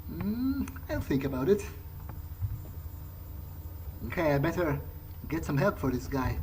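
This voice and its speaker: A man's voice: a little high, sing-song, measured pace, a little hiss or hum, low-quality recording. A man commenting in character during a video game playthrough, musing aloud in a hesitant way. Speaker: gamer playing in character. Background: loud game music.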